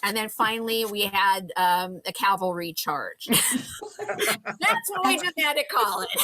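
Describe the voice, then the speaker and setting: A woman's voice: medium pitch, sing-song, slow pace, pauses often, a crowd in the background, low-quality recording. A woman drama instructor giving casual, effusive, encouraging notes to her cast.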